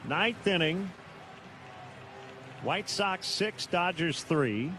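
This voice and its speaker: An American man calling live baseball on the radio in short, clipped phrases with pauses. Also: sits high, lively intonation, pushed and bright. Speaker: baseball play-by-play announcer. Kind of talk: live radio play-by-play commentary. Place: stadium broadcast booth. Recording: noisy live ballpark broadcast.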